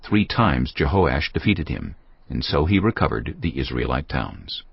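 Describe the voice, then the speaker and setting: Deep-voiced male Bible narrator, lively intonation, muffled lo-fi sound. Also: measured pace, creaky, breathy edge, no room sound.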